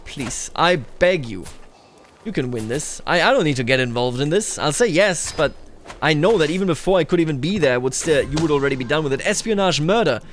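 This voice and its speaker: A man giving casual roleplay commentary on a strategy game, thinking aloud and talking straight to the game's characters. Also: on the high side. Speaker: gaming video host.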